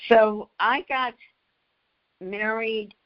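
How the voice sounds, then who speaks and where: An older woman from the United States speaking as an interview guest, pausing often mid-phrase as she recollects and explains. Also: a little high, fairly even intonation. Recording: slightly dull, lo-fi audio.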